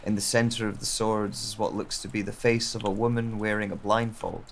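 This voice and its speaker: A man playing a brooding, reflective wanderer who narrates a solitary journey in a measured, solemn way for an audio drama. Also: lowish voice, level tone, a little hiss or hum, lightly acted.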